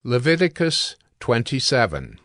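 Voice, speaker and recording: Brief: male narrator, very slow and deliberate, dry close-miked sound. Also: mid-range voice, huge pitch swings, a bit bright, no room sound. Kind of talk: scripted scripture narration.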